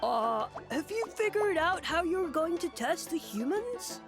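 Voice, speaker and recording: A woman voicing a naive, admiring, slightly dim little fungus creature in a video game, gushing and halting. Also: very high voice, big pitch swings, music in the background, exaggerated voice.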